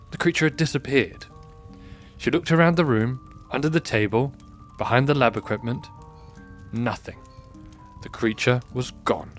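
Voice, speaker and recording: A man narrating a scripted fantasy audio drama in a measured, expressive storybook style. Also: mid-range voice. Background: music.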